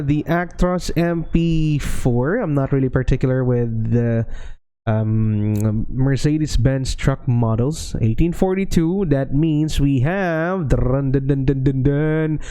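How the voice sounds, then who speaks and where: A man with a Filipino accent giving relaxed gameplay commentary as a channel host, talking hesitantly with many fillers and restarts. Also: medium pitch, clean tone. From Philippines.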